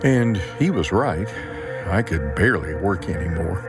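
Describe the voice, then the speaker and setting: Old farmer, low voice, weary, regretful, grave plain-spoken storytelling, audio drama monologue, faint music behind. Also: male voice, lively intonation, measured pace. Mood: burdened, regretful. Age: older.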